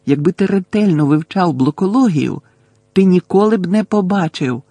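A man's voice: high voice, expressive, normal speed, mellow, no echo, pitched above the performer's own voice. A man voicing an earnest, anxious teenage girl witch in an audiobook, pleading and stubbornly reasoning. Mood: hissing, insistent.

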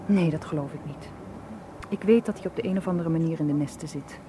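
A young woman tour guide, anxious yet brave and insistent played by an actress in a radio drama. Her voice sits fairly low. There is clear background noise on the recording. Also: brisk, close to an everyday voice. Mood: worried, insistent. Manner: earnest, insistent. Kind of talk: Dutch radio drama acting.

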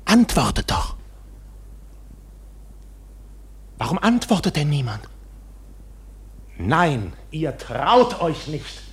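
A man's voice: on the high side, big pitch swings, slow pace. A man in a German radio play acting a proud, defiant accused bank officer in a theatrical, indignant manner. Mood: shouting defiance.

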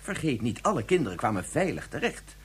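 An actor playing an earnest scientist in a science fiction radio drama, arguing in a formal, deliberate way. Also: male voice, mid-range voice, very animated, natural voice. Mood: puzzled, firm. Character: earnest male scientist and father, insistent, methodical.

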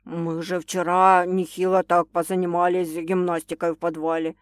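Woman acting anxious man in love, lowered heavy voice, halting, serious, romance audiobook, dry sound. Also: little pitch movement, dry recording, lightly acted. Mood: anxious, flustered. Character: gruff, anxious, shocked man in love, expectant father.

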